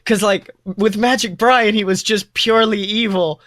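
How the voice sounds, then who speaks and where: A man with a fairly high voice, recorded close and dry. He co-hosts a casual fan podcast, gushing with enthusiasm. He tends to ramble and talk in big superlatives. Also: steady tone, moderate pace, fairly bright tone, dry recording. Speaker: podcast co-host.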